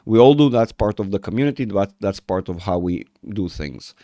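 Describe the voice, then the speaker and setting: A man hosting a live market chat with viewers, rambling and restarting sentences, his voice rough from a cold. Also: on the low side, lively intonation, brisk, warm tone, no room sound, clean studio sound. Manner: rambling, self-interrupting, reads chat aloud. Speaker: market analysis livestream host. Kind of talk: casual livestream chat with viewers.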